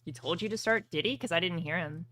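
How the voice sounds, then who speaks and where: Low voiced lady game master, fantasy rpg stream, music playing behind her. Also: quick pace, fairly bright tone, no echo. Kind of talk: casual table talk and dramatic scripted fantasy recap narration.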